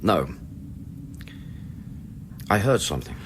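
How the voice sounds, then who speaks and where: An actor playing a sober, suspicious investigator in a radio play, pressing with short, clipped questions. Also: male voice, deep voice, very animated, slow pace, noisy background, heavily acted. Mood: frustrated, insistent. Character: probing, insistent male investigator, sober and suspicious. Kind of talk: radio drama acting.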